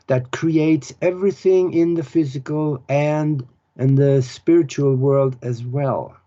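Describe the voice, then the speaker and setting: A man from Norway. He explains spiritual ideas in an earnest, halting way, pausing often as he searches for words. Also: mid-range voice, mellow. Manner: unhurried, earnest, halting with pauses. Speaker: author and spiritual teacher. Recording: dull lo-fi recording.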